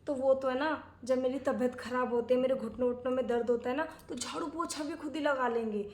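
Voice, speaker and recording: A woman's voice: high voice, fairly even intonation, brisk, darkish tone, lightly acted. A woman playing a scolding, self-righteous, old-fashioned older aunt in a dramatic, chatty domestic comedy skit. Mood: gossipy, boastful. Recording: echoey room.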